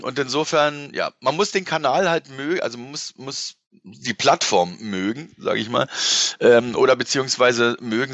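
A man's voice: medium pitch, fairly bright tone, no echo. A middle-aged man chatting casually as an interview guest, explaining things in a colloquial, rambling way. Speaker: podcast guest, musician and entertainer.

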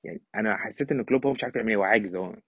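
A man's voice: on the low side, very fast, breathy edge. An Egyptian man giving casual, emphatic football analysis in Egyptian Arabic, as an opinionated podcast guest. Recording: thin phone-like line, slightly roomy.

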